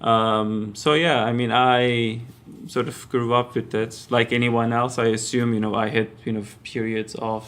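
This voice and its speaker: A man in his thirties from Turkey with a Turkish accent, a podcast guest talking carefully and hesitantly, with frequent pauses and fillers. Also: mid-range voice, level tone, a bit bright. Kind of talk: casual interview talk. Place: basement room.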